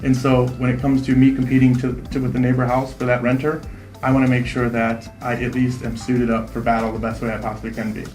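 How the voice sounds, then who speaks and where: A man in his thirties, a real estate investor, answering interview questions quickly and confidently, often tagging "right?" onto his points. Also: mid-range voice, completely flat delivery, a little mellow, reverberant, faint music behind. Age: 30s. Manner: fast, confident, repeats "right?".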